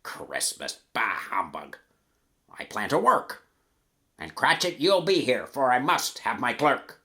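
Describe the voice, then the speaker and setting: A man's voice: mid-range voice, sing-song, slow pace, sharp tone, heavily acted. Man acting a snarling, grouchy old miser. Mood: scornful. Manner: curt, snarling, dismissive. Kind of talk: rhyming verse storytelling.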